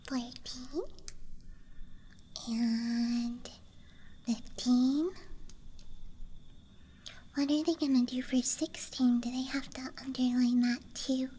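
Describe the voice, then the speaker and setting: A young woman speaks in a soft, breathy ASMR whisper, with a high, girlish tone. Her delivery is extremely slow, gentle and playful, as if sharing small things one at a time. A faint outdoor night ambience sits behind her. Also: steady tone, a little hiss or hum. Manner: gentle, playful, unhurried murmuring. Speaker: ASMR streamer. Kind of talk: ASMR, unscripted show-and-tell. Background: night campground ambience track.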